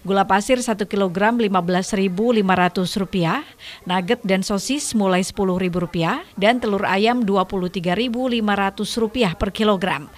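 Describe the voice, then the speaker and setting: The mid-pitched woman you hear narrating a field report on local evening television news. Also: moderate pace. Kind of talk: scripted voice-over narration.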